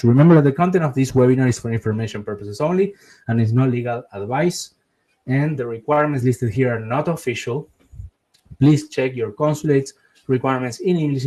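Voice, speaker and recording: A man's voice: medium pitch, darkish tone, rough recording. A middle-aged man from Argentina with an Argentine Spanish accent, hosting a webinar and giving its closing remarks earnestly, repeating words for emphasis. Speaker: webinar host and relocation advisor.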